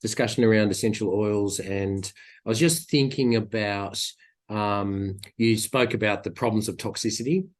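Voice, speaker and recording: A man's voice: fairly low pitch, fairly even intonation, moderate pace. A man in the audience asking a long, tentative question with many ums. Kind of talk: academic question.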